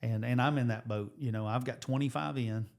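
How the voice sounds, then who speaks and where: A man's voice: mid-range voice, fairly even intonation, fairly quick, gravelly. Chatty American man in his forties. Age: 40s. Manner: rambling, restarts, thinks out loud with numbers. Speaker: podcast co-host, high school coach and teacher. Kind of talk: casual chat.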